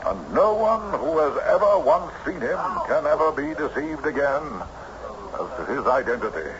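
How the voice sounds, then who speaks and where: High, animated old-time storyteller. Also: male voice, muffled recording. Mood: ominous, confiding. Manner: old-fashioned, deliberate storytelling phrasing. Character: loquacious male country teller of eerie tales, weary traveler. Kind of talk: vintage radio drama acting. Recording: noisy band-limited old radio recording.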